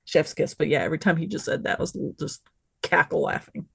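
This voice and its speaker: A woman's voice: deep voice, animated, fairly quick, creaky, a bit bright, husky, no room sound, studio quality. Effusive woman in casual chat. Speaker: podcast panelist.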